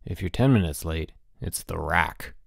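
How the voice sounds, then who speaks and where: A man's voice: lowish voice, very animated, normal speed, vocal fry, dry recording, studio quality. A man voicing a cool, mischievous schoolboy with a mock-grave, unruffled way in a storybook reading.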